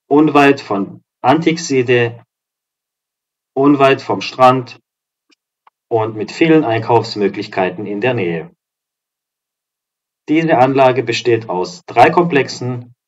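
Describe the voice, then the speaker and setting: A slightly hoarse man giving an upbeat, promotional walkthrough of apartments as a real estate agent and vlogger. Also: mid-range voice. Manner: upbeat, promotional, walks through rooms while talking. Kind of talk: property tour narration. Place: apartment complex in a seaside town, indoors and outdoors.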